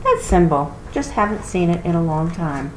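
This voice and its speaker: A woman's voice: on the low side, very animated, moderate pace. Woman playing a wise, loving old grandmother. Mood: curious. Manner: gentle, familiar, folksy. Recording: roomy echo with clear noise.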